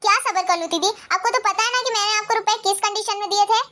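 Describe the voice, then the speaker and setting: A woman's voice: very high-pitched, racing, pushed and bright. A woman acting an anxious young wife who frets and pleads in a family drama. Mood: upset. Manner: fretful and pleading.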